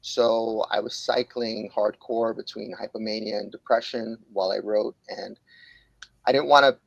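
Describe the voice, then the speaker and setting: A New York man in his late forties talks openly on a podcast, thinking aloud with plenty of pauses and fillers. His voice carries a gravelly texture and sits at an ordinary pitch. The sound is close and dry, with no room around it. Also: steady tone, no echo, lo-fi sound. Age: 40s. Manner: candid, reflective, hesitant with fillers. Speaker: guest, jiu-jitsu instructor and author. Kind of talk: podcast interview.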